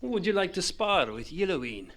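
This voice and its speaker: A man's voice: high voice, very animated, fairly bright tone, exaggerated voice. Stern, formal older warrior general played by a man. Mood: commanding. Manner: formal, measured, commanding. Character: stern, duty-bound veteran warrior general, male, older. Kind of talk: fantasy audio drama acting.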